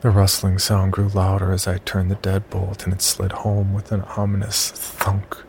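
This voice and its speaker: A man playing the uneasy, cautious narrator of a scripted horror story, telling it in a gravelly voice. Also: sits low, monotone, normal speed, a little mellow, a little hiss or hum. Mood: tense, measured. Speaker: first-person narrator and lead character.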